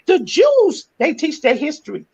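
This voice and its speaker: A woman from North Carolina, hosting a panel discussion in a preacherly, impassioned way that rises to shouts and tearful cries. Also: high-pitched, wildly swooping pitch, a little slow, creaky. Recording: muffled lo-fi podcast audio.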